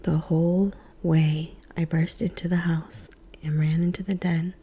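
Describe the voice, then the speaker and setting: A woman whispers a children's story, slightly low and very dark in tone. The whisper is airy and breathy throughout, gentle and hushed from line to line. She reads in a calm, quiet storytelling manner. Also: measured pace. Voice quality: soft unvoiced whisper. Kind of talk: whispered ASMR story reading.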